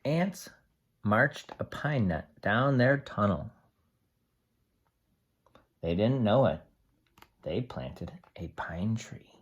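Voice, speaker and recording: A teacher reading a picture book aloud to young children, explaining things simply with expressive asides. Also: medium pitch, husky.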